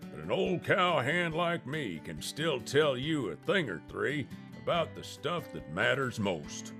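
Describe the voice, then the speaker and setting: A folksy old cowhand pitches his musings in a slow, rambling drawl, as a man plays him for a radio play. His voice is gravelly and swings widely in pitch. Soft guitar plays behind him. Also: full character voice. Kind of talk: comedic radio ad parody. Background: guitar music.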